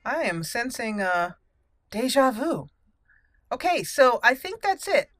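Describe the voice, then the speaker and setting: A woman's voice: fairly high pitch, sing-song, slow, fairly bright tone, no echo. A woman hosting a let's play, playfully moving between in-character lines and casual commentary. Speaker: gaming channel host. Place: indoors, game audio behind.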